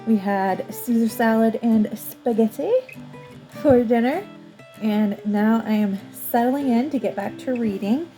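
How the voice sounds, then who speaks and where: A woman's voice: fairly high pitch, measured pace, mellow, faint music behind, rough recording. A woman book vlogger chatting casually and informally to the camera, thinking aloud as she goes. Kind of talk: casual vlog chat to camera.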